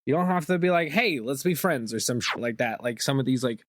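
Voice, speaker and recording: A man's voice: medium pitch, expressive, brisk, a bit bright, dry recording, clean studio sound. A young man hosting a podcast, rambling casually and informally with slangy, profane turns of phrase. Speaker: podcast host.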